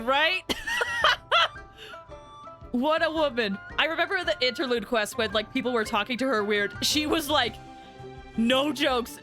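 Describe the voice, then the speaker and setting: Bright, animated woman streaming a game. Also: fairly high pitch. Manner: excitable, giggly, gushing, slangy. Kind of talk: casual live stream commentary, reacting and reading along.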